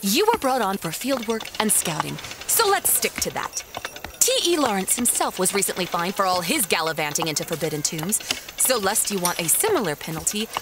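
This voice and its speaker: A woman's voice: mid-range voice, sing-song, brisk, heavy background noise, natural voice. An actress playing a stern, bossy woman who runs an expedition in an audio drama, clipped, formal and exasperated. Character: stern, bossy female expedition boss, stickler for protocol. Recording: loud cinematic music behind the voice.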